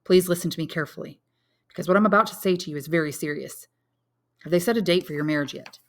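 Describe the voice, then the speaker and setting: An honorable, lovelorn young man from a classic novel read by a woman, earnest and intense. The voice sits fairly low with a creaky edge, solemn and grimly resolute. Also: fast talker, close to an everyday voice. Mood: urgent. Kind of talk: dramatic audiobook character reading.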